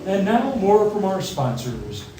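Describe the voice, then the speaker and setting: A man playing an upbeat sponsor announcer giving a polished 1940s radio commercial pitch. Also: wildly swooping pitch, unhurried, over loud music, over a noisy crowd, full character voice. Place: theatre stage. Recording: very echoey theatre, distant mic, faint noise.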